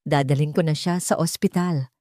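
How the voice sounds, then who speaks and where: Woman reading as rich filipino dad, anxious but tender, audio story, mellow, close dry sound. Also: low voice, steady tone, normal speed, no echo, lightly acted. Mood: frightened, urgent. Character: rich young father, anxious, tender, commanding.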